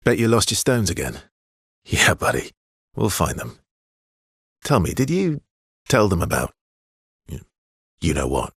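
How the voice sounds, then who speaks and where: A weary video game swordsman with a low, gravelly voice, heavily husky and worn. He talks in an understated, guarded way, with hesitations and a dry, teasing fondness underneath. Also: sing-song, a little slow, pauses often, a bit bright, dry recording, heavily acted. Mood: quiet, wry. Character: world-weary adult male expedition swordsman, guarded but wryly affectionate. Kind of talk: video game character dialogue, conversational.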